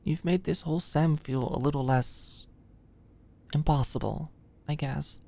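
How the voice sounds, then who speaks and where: A woman's voice: deep voice, a little slow, vocal fry, mellow, dry recording, exaggerated voice. A woman playing an anxious, stressed-out young medical student in an intimate roleplay monologue, rambling and stammering as she goes. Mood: shy, grateful. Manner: rambling, stammering, hesitant, swearing.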